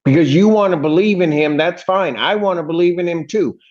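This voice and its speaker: A woman's voice: lowish voice, fairly quick, a little mellow. An angry woman judge speaking sternly from the bench, scolding bluntly and emphatically. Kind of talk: stern courtroom address. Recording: dull, lo-fi courtroom audio.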